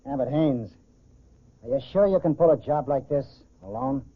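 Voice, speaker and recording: An actor playing a skeptical, slangy American crook's sidekick in a 1940s radio crime drama. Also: male voice, mid-range voice, normal speed, close to an everyday voice. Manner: slangy, doubting, informal. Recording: muffled, phone-like 1940s radio recording.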